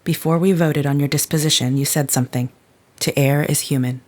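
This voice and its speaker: A man playing solemn telepath, fairly high voice for a man, stilted formal phrasing, grave, audio drama. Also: steady tone, darkish tone, lightly acted. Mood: probing. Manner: stilted, formal, measured wording.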